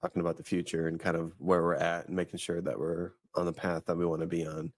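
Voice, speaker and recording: A man's voice: low-pitched, monotone, quick pace, no room sound, clean studio sound. A man in his thirties co-hosting a faith podcast, chatting casually and rambling with many restarts and fillers. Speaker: podcast host. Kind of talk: casual chat.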